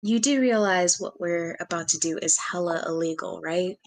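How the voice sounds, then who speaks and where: A woman's voice: fairly low pitch, moderate pace, close to an everyday voice. Woman reading as nervous, disapproving young woman. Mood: annoyed. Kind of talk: amateur screenplay table read.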